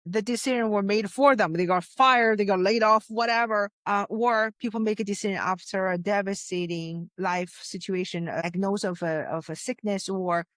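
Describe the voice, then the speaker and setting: A woman's voice: medium pitch, fairly even intonation, normal speed, hardly any pauses, fairly bright tone, no echo, clean studio sound. A woman from China, a success coach, telling her story with earnest emphasis and frequent restarts. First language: Chinese. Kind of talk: interview conversation.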